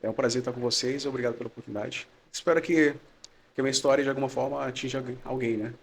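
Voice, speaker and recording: A young man with a Maranhão accent tinged with São Paulo speech, a guest on a podcast telling his story in a friendly, relaxed way and laughing often. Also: mid-range voice, rapid-fire, gravelly, breathy edge. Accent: Maranhão Brazilian Portuguese, mixed with São Paulo. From São Luís, Maranhão, Brazil. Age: young adult. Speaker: guest giving testimony.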